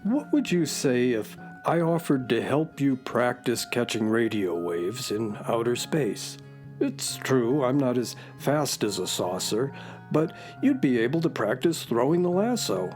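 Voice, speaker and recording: A woman's voice: very deep voice, very animated, unhurried, a little mellow, heavily acted. A woman playing a kindly, folksy space horse who tells stories in a children's radio drama. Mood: gentle, hesitant. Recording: music behind the voice.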